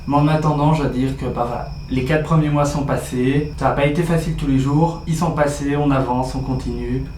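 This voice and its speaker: A man's voice: mid-range voice, fairly even intonation, a little fast, darkish tone. A teenage man from Belgium, chatting casually as he answers viewer questions about his exchange year. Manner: chatty, reads out questions then answers them. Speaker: exchange student vlogger. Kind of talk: casual vlog Q&A. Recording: roomy echo with faint ambient noise.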